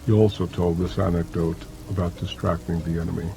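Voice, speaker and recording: A man narrates scripted material for an audiobook in a measured storytelling manner. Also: deep voice, little pitch movement, vocal fry, background noise. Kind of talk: scripted narration of a historical anecdote. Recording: loud music and rain behind the voice. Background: prominent music with rain.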